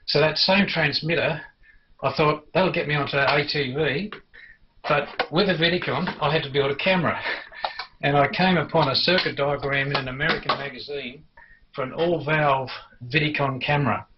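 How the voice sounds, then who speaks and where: An older Australian man reminiscing without a script in a halting, storytelling way, as an amateur television hobbyist. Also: on the high side, dull audio, noisy background. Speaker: amateur television enthusiast recounting the past. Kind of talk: unscripted personal reminiscence.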